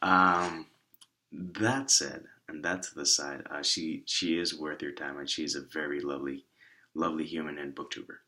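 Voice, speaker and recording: A man with a raspy voice and a lingering cough, casually rambling through shoutouts with many restarts and asides. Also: rumbling low, steady tone, moderate pace. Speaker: author and vlogger.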